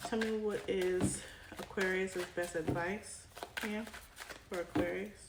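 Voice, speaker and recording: A woman's voice: mid-range voice, fairly even intonation, slow pace. A woman giving a casual tarot reading straight to her viewers, her voice tiring as she comes down with a cold. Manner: chatty, addresses viewer directly with frequent "right?". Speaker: tarot reader. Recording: echoey room with card shuffling noise. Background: clicks and shuffling cards.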